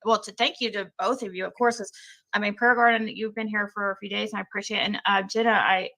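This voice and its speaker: A woman hosts a livestream, halting and stumbling through fillers as she reads the chat. Her voice is bright and clear. She sounds casual and a little scattered. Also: medium pitch, fairly even intonation. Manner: hesitant, filler-heavy, reads chat while talking. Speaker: livestream host.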